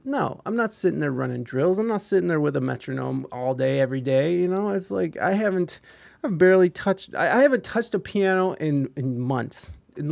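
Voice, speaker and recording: A man's voice: a little high, vocal fry, darkish tone, dry recording. A young man hosting a casual podcast, talking loosely, swearing freely and getting emphatic. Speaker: podcast host.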